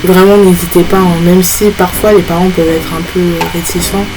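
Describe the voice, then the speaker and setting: A young woman from France giving a casual, informal travel vlog voice-over, often pausing as she searches for words. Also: on the low side, steady tone, rough recording. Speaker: vlogger. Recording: music behind the voice-over. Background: background music.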